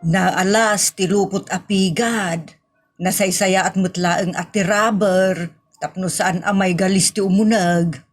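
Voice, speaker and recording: A woman acts a village wife and aunt who loves to gossip and scheme. Her voice sits at an average pitch, with a Filipino Ilocano accent. She complains, and falters when challenged, in comic radio-play style. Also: fairly even intonation, takes it slowly, faint music behind. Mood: coaxing, flustered. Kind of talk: comic audio drama acting.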